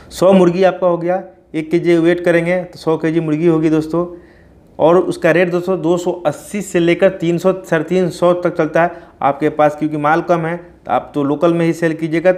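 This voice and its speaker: Higher-pitched man explaining informally. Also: darkish tone. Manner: repeatedly addresses listeners as friends. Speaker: poultry farming video host. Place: small plain indoor room.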